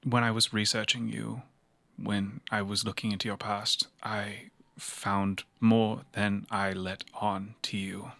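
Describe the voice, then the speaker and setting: A man playing a consoling, remorseful investigator in an improvised cyberpunk tabletop game, earnest and halting. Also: on the low side, level tone, creaky, bright, forceful tone, dry recording, exaggerated voice. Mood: confessing, apologetic.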